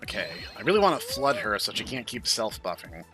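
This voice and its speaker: A man's voice: on the low side, sing-song, brisk, music in the background. A man giving casual running commentary as he plays a video game. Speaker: host.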